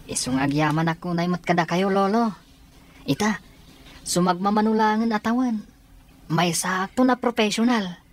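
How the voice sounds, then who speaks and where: An actress playing a dutiful, grateful young student in an Ilocano radio drama, with an Ilocano accent. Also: female voice, medium pitch, normal speed, long pauses, natural voice. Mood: earnest.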